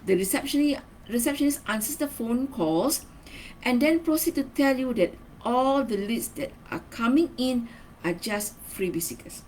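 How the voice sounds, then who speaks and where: A woman's voice: a little high, animated, normal speed, darkish tone, a little hiss or hum, rough recording. A woman giving a semi-scripted training lesson as a marketing trainer, instructional, stressing key words and checking in with short tag questions.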